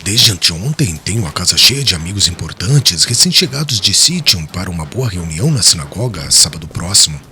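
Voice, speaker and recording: A male actor plays a haughty, reserved man in an audiobook drama. His voice is low but very sharp and forceful, with formal, cool phrasing over faint background noise. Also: very animated, fairly quick, hardly any pauses, full character voice. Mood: cold, astonished. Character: Sadok, cold, reserved, self-important male friend turning someone away.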